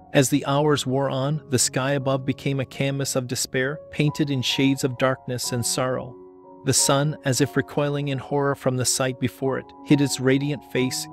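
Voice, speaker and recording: Solemn man narrating over steady music. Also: medium pitch. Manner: measured, solemn storytelling delivery. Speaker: homily narrator. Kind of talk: scripted dramatic narration. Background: background music.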